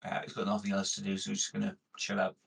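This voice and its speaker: A man with a low, husky voice talks informally as a tabletop game player. He is laid-back as he says what his character does, and he sounds some distance from the microphone. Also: completely flat delivery, fast talker, a bit bright. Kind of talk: casual, describing his character's actions.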